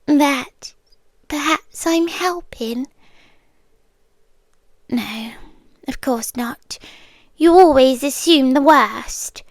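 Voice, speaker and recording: A British woman playing a possessive, calmly menacing yandere girlfriend in an intimate ASMR roleplay, breathy and often dropping to a whisper. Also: high voice, no echo, heavily acted. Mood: condescending, tender. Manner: slow, deliberate, breathy, drifting into whispers.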